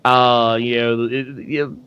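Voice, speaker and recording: A man's voice: mid-range voice, flat delivery, a little slow, clean tone, muffled recording. A man chatting casually as a podcast guest, hesitant and thinking aloud with frequent restarts. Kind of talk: casual live comic book discussion.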